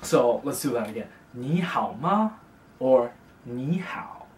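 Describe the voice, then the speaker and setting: A young man from China, teaching a casual beginner language lesson in a relaxed, chatty way, with fillers and slow, careful repetition of example words. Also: mid-range voice, slight background noise. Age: young adult. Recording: roomy, distant mic.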